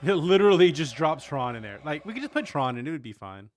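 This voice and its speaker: A man's voice: a little high, very animated, quick pace. A man giving casual, wry livestream commentary on a game, with hesitant asides. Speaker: game streamer, politics lecturer. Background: game music.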